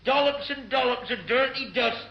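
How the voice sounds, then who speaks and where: An actor playing a reluctant, dim junior sailor who whines plaintively, in an echoey old radio comedy recording. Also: male voice, very high voice, gravelly, dull audio, slight background noise. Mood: miserable whining. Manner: plaintive, whining, drawn-out. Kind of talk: radio sitcom acting.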